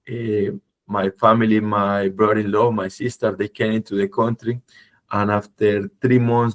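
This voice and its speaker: A man presenting a webinar, his voice a bit low and tired from travel, speaking in non-native phrasing with frequent fillers and tag questions. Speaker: company head presenting a webinar. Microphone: video call.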